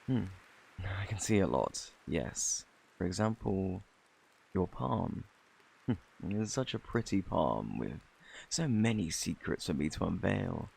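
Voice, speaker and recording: A man with a British accent playing a flirty, eccentric fortune teller in a fantasy ASMR roleplay, with a theatrical, boastful, easily flustered manner and a husky, gravelly voice. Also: sits low, big pitch swings, slow pace, a little mellow, exaggerated voice. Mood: smitten, flustered. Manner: theatrical, flustered, coaxing, old-fashioned phrasing. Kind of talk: ASMR roleplay, intimate scripted acting.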